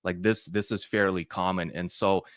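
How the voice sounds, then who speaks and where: A young man, telling his story in a relaxed interview. He rambles, often restarting his sentences and filling pauses. The sound is dry and close, with almost no room echo. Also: sits low. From Western Ukraine. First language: Ukrainian. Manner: rambling, many restarts and fillers. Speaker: interview guest.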